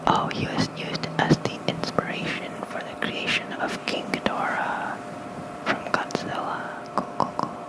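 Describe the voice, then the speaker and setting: An ASMR creator softly whispering lore as a bedtime reading with casual asides, close to a fluffy-covered microphone. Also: measured pace, poor audio.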